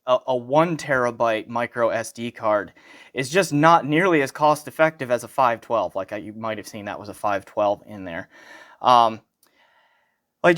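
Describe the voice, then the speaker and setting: A man's voice: mid-range voice, a bit bright. Casual American man reviewing gear. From United States. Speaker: product reviewer.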